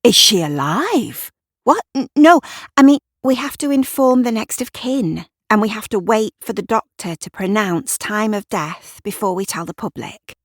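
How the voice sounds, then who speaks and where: A woman's voice: medium pitch, lively intonation, no room sound, clean studio sound, voice pushed up, natural voice. Flustered, stammering policewoman, as a female narrator voices her. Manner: halting, stammering, apologising and restarting. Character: flustered apologetic young female police sergeant.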